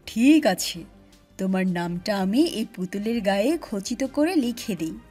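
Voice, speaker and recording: A woman voicing a friendly village man who sells dolls, with loud, drawn-out calls, in a children's cartoon story. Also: mid-range voice, expressive, breathy edge, faint music behind, pitched below the performer's own voice. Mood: kindly. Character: friendly male village doll seller calling his wares.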